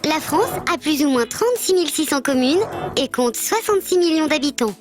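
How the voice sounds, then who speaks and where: High-pitched bird mascot teacher voiced by a woman. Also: animated, a little fast, music in the background. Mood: instructive, friendly. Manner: didactic, clearly structured explaining. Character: friendly tec-tec bird mascot teaching civics. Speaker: presenter of an educational programme. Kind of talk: scripted educational narration.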